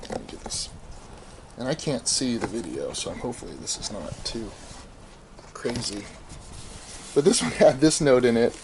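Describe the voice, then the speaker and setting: A middle-aged American man gives a casual, unscripted show-and-tell, thinking aloud in a halting, explanatory way. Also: medium pitch. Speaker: amateur audio archivist showing his tapes. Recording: clearly noisy home video, room ambience.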